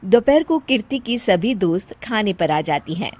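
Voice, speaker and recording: A woman's voice: medium pitch, expressive, moderate pace, a little mellow, dry recording. A woman narrating a scripted moral story as its storyteller.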